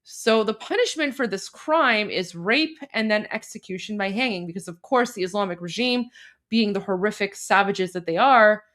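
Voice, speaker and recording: A woman's voice: medium pitch, animated, normal speed, fairly bright tone. A woman hosting a casual livestream, chatty and full of fillers, Persian her mother tongue but speaking fluent English. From Canada. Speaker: livestream host.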